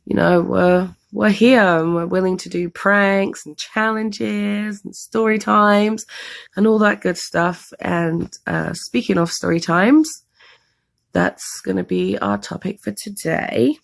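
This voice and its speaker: A woman's voice: medium pitch, slow. A woman from London with a London accent, losing her voice to a cold, chatting casually and rambling through a story time for a vlog. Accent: London English. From England, London. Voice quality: hoarse, gravelly. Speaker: vlogger co-host.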